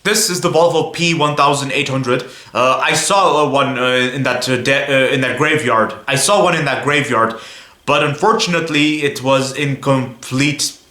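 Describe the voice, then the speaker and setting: A man's voice: mid-range voice, normal speed, bright, forceful tone, reverberant. A young man from Belgium chatting casually to viewers on his car vlog, rambling a little and often saying "guys". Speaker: car vlogger.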